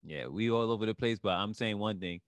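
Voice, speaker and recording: A man's voice: lowish voice, a little fast, no echo. A man with a Haitian accent, co-hosting a podcast, arguing about sports with emphatic, rising outbursts. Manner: emphatic, rising to near shouts when worked up. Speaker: podcast co-host. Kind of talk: heated sports debate.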